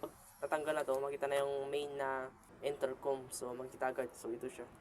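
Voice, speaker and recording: Filipino man, halting, in a noisy recording. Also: mid-range voice, little pitch movement, a little mellow. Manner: halting, mixes Tagalog with English phrases. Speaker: vlogger and product reviewer. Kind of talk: casual unboxing and product demonstration. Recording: clearly noisy amateur vlog recording.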